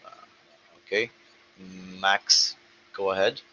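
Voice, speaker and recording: A man hosting an online question-and-answer call, moderating in a brisk, businesslike way. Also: sits low, fairly even intonation, pushed and bright. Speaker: host running a community Q&A call. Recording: dull lo-fi call audio with faint noise.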